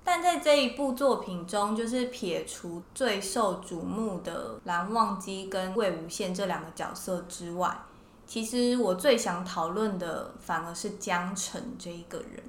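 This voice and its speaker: A woman's voice: medium pitch, fairly bright tone. A woman co-hosting a casual podcast conversation about fiction. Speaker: podcast co-host. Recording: roomy echo, faint background noise.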